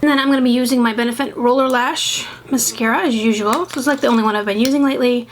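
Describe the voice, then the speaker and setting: A woman's voice: a little high, steady tone, fast talker. A young woman vlogger chatting through her makeup routine, sniffly and congested, rambling and second-guessing herself as she talks. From American South. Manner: rambling and self-doubting, full of fillers. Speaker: beauty vlogger. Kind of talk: chatty get-ready-with-me makeup tutorial. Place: home, at a makeup table. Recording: noisy home recording.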